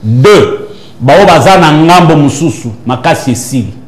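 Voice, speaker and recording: A man's voice: fairly high pitch, lively intonation, unhurried. A man, a church prophet answering interview questions with an emphatic, preaching cadence. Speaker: prophet and church leader, guest.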